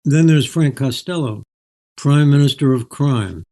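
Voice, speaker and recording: Older male author, mellow tone, reflective storytelling with articulate, measured delivery. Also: mid-range voice. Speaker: author, interview guest. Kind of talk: reflective storytelling, reading and interview.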